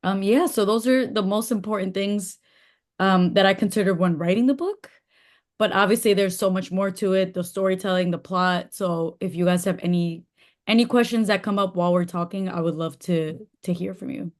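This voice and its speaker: A woman's voice: medium pitch, moderate pace. A woman whose first language is Spanish, talking casually as an author guest over a video call, with frequent fillers. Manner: relaxed, chatty with frequent fillers. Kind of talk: casual interview talk.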